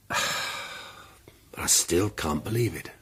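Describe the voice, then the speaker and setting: An actor playing a sober, reflective gentleman sleuth in a radio drama. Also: sits low, extremely slow, husky, heavily acted. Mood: stunned realisation.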